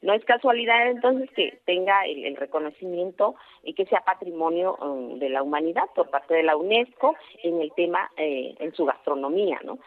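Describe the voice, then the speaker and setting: A Mexican woman from Oaxaca, a guest speaking earnestly by phone on a radio show, pausing often with fillers and restarts. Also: lowish voice, expressive. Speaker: guest, traditional cooking expert. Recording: tinny phone line.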